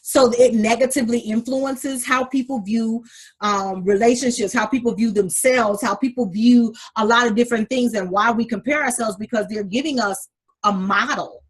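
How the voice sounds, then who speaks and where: A woman co-hosting a casual talk show, animated and sassy, calling listeners honey. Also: a little high, normal speed, breathy edge. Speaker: podcast co-host. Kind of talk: casual chat.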